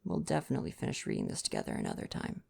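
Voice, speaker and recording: A man reads softly in a bedtime ASMR style. His delivery is gentle and intimate, with lively, expressive intonation and some gravelly fry in the voice. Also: low-pitched, racing. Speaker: ASMR roleplay performer reading aloud.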